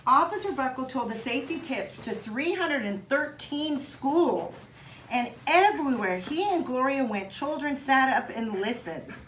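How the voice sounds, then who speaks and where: A woman reading a children's picture book aloud in an expressive, child-directed way, with chatty asides to young listeners. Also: sits high, a little slow. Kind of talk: animated storybook read-aloud for children with casual asides. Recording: roomy echo, some background noise.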